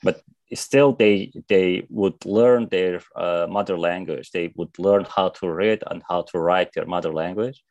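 Hesitant Iranian-born man, low voice. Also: unhurried, a little mellow, no echo, muffled recording. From Iran. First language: Azerbaijani Turkish. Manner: hesitant, many fillers and restarts. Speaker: interview guest, activist. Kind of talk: interview answers.

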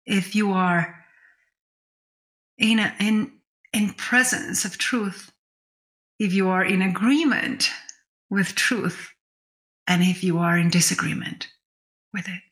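A woman's voice: mid-range voice, slow pace, pauses often, fairly bright tone. A woman co-hosting a spiritual discussion podcast, thinking aloud in a reflective way and restating her points. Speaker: podcast co-host.

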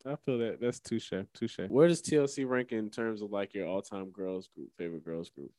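Low-voiced man co-hosting a music show. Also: normal speed, mellow, no echo. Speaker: radio show co-host. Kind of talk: casual music discussion.

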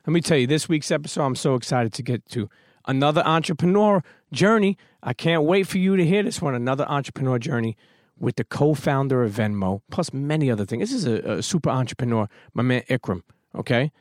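A man hosts a podcast with a fired-up, emphatic delivery, swearing casually as he pushes his listeners to keep going. His voice sits at an ordinary middle pitch. The sound is dry and close, with no room around it. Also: expressive, brisk, hardly any pauses, no echo. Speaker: podcast host. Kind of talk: motivational podcast talk.

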